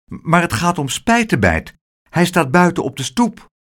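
A man's voice: a little high, very animated, measured pace, fairly bright tone, voice pushed up. A man voicing a young boy hero in a children's storybook, polite, earnest and coaxing. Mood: anxious.

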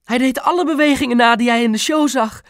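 A man voicing an excitable, dramatic mother with an emphatic delivery in an audiobook. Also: high voice, normal speed, no room sound, clean studio sound, pitched above the performer's own voice. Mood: thrilled, gushing. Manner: gushing, emphatic, scolding when crossed. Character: excitable, dramatic mother of a boy, proud nail technician. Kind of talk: audiobook character voice.

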